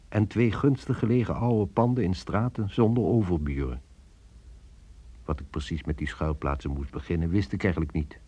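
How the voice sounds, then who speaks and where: Radio drama lead: brooding, weary businessman-narrator, reflective and measured, deep pitch, mellow tone. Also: breathy edge.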